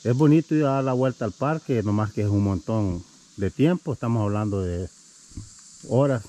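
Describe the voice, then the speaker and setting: A man's voice: lowish voice, normal speed, mellow. A man in his thirties filming a family hiking vlog, chatting to viewers as he walks and climbs a wooded trail, a little out of breath. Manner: chatty, addresses viewers as "mi gente". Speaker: family vlogger. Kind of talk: casual hiking vlog narration. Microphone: action camera held at arm's length.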